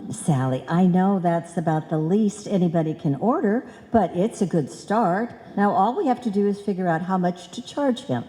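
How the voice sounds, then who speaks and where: A woman's voice: lowish voice, moderate pace, few pauses, close to an everyday voice. A woman playing a scatterbrained, earnest wife who muddles her words in a live old-time radio comedy. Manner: muddled, malapropism-prone, animated. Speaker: radio play actor. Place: live stage in a hall. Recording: reverberant hall stage recording.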